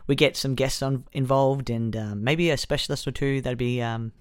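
A chatty man co-hosting a home podcast at a middle pitch. He talks casually, trails off and restarts, with a self-deprecating streak. The sound is close and dry, with no room echo. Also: fairly even intonation, moderate pace, clean tone. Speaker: podcast co-host. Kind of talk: casual chat.

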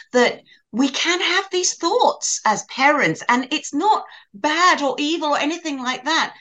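Affirming woman hosting an interview. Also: mid-range voice. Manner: warm-spoken, affirming, emphatic. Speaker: podcast host.

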